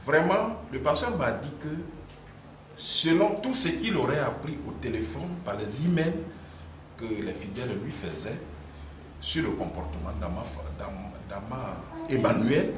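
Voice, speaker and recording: A man recounting a church dispute in an animated storytelling manner, repeating himself as he goes. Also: mid-range voice. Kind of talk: spontaneous spoken account. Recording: echoey room, distant mic, background noise. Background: ambient room noise.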